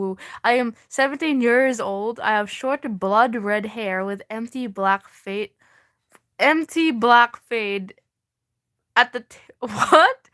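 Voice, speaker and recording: A woman's voice: medium pitch, a bit bright. A young woman streaming live, reading her old fan fiction aloud with self-mocking, swearing asides and frequent laughter, often breaking off mid-sentence. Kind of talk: casual livestream reading with asides.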